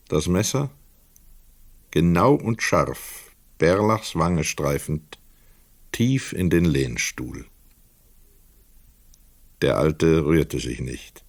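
A man narrating a literary crime radio play as a sober storyteller, measured, precise and dramatic. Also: low-pitched, vocal fry, no room sound, full character voice.

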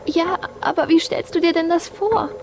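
An anguished woman in a radio drama, her voice strikingly high. She questions hesitantly, lost and confused about her love. There is clear noise behind her. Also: fairly even intonation, moderate pace. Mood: despairing. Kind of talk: radio play dialogue.

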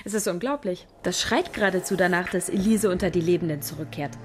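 A woman's voice: fairly low pitch, fast, lightly acted. An actress playing an ambitious female scriptwriter, casual and persuasive in naturalistic radio drama dialogue. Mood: casually confident. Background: music.

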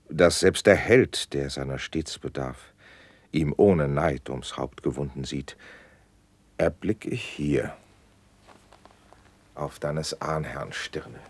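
An actor playing a dignified, gracious noble patron in a classical radio verse drama, declaiming in a stately, ceremonious manner. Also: male voice, rumbling low, slightly rough, no room sound, full character voice.

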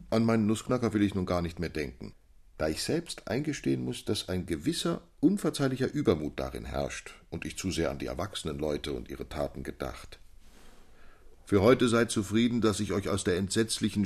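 A very deep, husky gentleman storyteller, courteous and earnest. He phrases things formally and ornately, as in a classic literary radio play. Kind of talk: German literary audio drama, formal reading.

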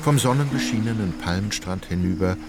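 An actor as a measured, ironic literary narrator of a radio drama, wry in manner. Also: male voice, fairly low pitch, over loud music, close to an everyday voice. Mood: wry, detached. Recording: clear ambient noise behind voice.

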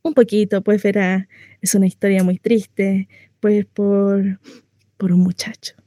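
A woman's voice: mid-range voice, flat delivery, unhurried, mellow, no room sound, clean studio sound, lightly acted. A woman acting a chatty, naive, mistreated housewife in a comic stage monologue, confessional, rambling and often interrupting herself. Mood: coyly confessional. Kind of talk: theatrical comic monologue addressed to a listener.

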